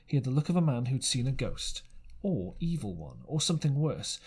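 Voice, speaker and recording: Husky man reading a story expressively. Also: mid-range voice, lively intonation, a little mellow. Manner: halting reading, stumbles and rereads phrases. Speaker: amateur audiobook narrator. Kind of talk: dramatic storybook narration.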